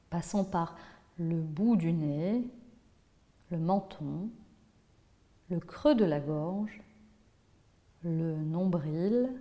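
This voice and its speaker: A woman teaching yoga nidra, guiding a relaxation in a measured, instructive way with pauses between phrases. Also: fairly low pitch, mellow. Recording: long hall-like reverb on voice.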